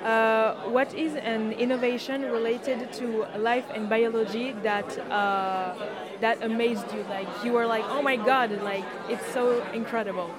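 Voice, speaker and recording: A woman interviewer asks friendly, brisk questions in a fairly high voice. Loud crowd chatter fills the busy indoor hall behind her. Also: fairly even intonation. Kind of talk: casual interview.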